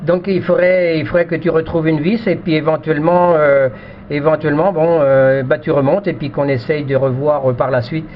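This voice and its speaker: Higher-voiced man on dull radio audio. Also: steady tone, normal speed. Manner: repetitive, hesitant phrasing. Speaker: amateur radio operator. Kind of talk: casual technical radio chat. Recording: muffled band-limited radio audio, faint noise.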